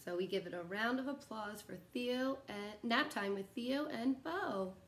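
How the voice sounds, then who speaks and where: A woman's voice: a little high, moderate pace, a bit bright. A woman in her thirties reading a picture book aloud to young children in a sing-song way, recorded at home. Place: living room at home, indoors.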